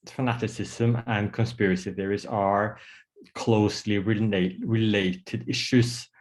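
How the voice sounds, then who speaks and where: A man's voice: lowish voice, steady tone, measured pace. A man with a Norwegian accent, a psychiatrist presenting an online workshop over a video call, hesitant with many fillers and restarts. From Norway. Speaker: psychiatrist giving a workshop talk.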